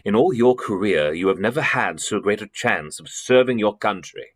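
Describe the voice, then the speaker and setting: A man acting a weighty, authoritative gentleman in a radio play, urging and commanding. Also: lowish voice, animated, moderate pace. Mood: urgent, commanding. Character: weighty, authoritative gentleman, urgent elder brother of detective.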